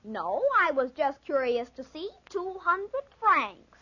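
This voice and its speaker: A woman's voice: high-pitched, very animated, slow, sharp tone, full character voice. A woman playing a sly, teasing, insolent young boy in a 1940s radio drama. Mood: taunting. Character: sly, taunting young boy bargaining with his cousin. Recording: muffled 1940s radio recording.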